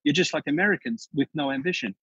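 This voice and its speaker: A husky-voiced Australian man chats as a podcast guest, spinning anecdotes with lively energy and plenty of restarts. His voice sits at an ordinary middle pitch. The recording is dry and a little dull, like a lo-fi remote call. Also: fast talker, no room sound. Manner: chatty storyteller, animated, frequent restarts. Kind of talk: casual interview chat.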